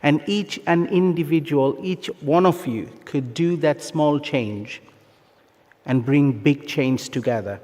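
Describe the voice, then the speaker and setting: A man with a fairly high voice delivers a formal ceremonial speech over a long hall reverb. He sounds earnest and encouraging, setting out measured phrases with emphatic pauses, like an official addressing graduates. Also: a little mellow. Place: large conference hall, live graduation ceremony.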